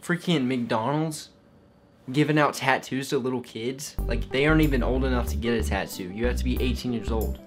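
A man's voice: medium pitch, normal speed, music in the background. An eighteen-year-old man vlogging in his bedroom, talking in a goofy, boastful, rambling way. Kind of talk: comedy vlog. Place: bedroom with gaming setup.

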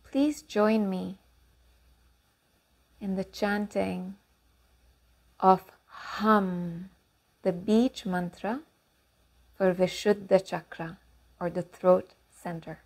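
A woman leading a guided meditation and affirmations in calm, unhurried instruction with long pauses. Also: mid-range voice.

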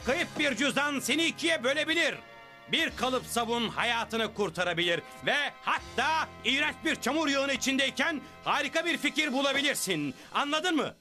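A man's voice: extremely high pitch, animated, normal speed, bright, forceful tone, faint music behind, full character voice. A man voicing a big blue superhero who is boastful and cheerfully dim, making grandiose speeches in a dubbed cartoon.

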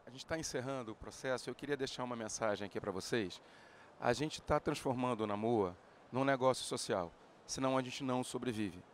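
Calm, steady male host in his forties, mid pitch, pitching a project on a handheld mic. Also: slight background noise. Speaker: panel host. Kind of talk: presenting talk. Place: large indoor event hall.

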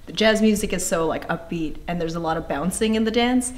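A woman's voice: on the low side, fairly quick. A woman dance teacher speaking in an enthusiastic, bubbly way. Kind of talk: interview. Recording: loud music and crowd behind.

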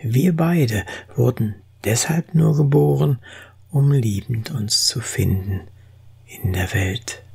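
A poetry reader with a very husky, breathy voice and a creaky edge. The delivery is slow, solemn and expressive, with each phrase set down deliberately. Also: medium pitch. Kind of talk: dramatic poetry recitation.

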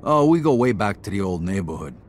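An actor playing a cocky young American war veteran turned small-time hoodlum in a crime video game, laconic and wry. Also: male voice, on the low side, big pitch swings, fairly quick, slight background noise. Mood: guarded, polite. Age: young adult. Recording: music and vehicle sounds behind. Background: music, vehicle sounds.

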